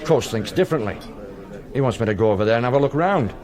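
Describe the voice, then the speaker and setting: Blunt union man, acted by a man, lively intonation. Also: mid-range voice, lightly acted. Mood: blunt, challenging. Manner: blunt, brisk. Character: businesslike, challenging male union secretary. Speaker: union secretary. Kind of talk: period radio drama acting.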